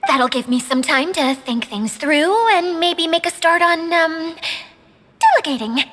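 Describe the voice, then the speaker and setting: A woman's voice: very high-pitched, a bit bright, a little hiss or hum. A woman voicing a flustered, self-mocking young female domain keeper, dramatic in a video game cutscene. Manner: dramatic, quick swings into embarrassed panic. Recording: game dialogue over music.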